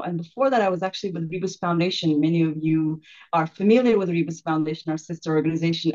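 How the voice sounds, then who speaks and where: A woman with a Turkish accent introduces herself informally as a company leader. Her pitch is on the low side. The sound is a bit dull and lo-fi, as heard through a video call microphone. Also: darkish tone. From Turkey. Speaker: incoming chief executive introducing herself. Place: online video meeting.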